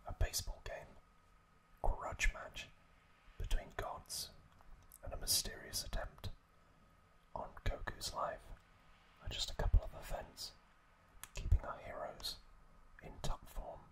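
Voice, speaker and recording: A man narrates ASMR in a breathy whisper, right up at the microphone. His pace is very slow, with hushed, drawn-out phrases delivered as a host addressing listeners. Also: rough recording.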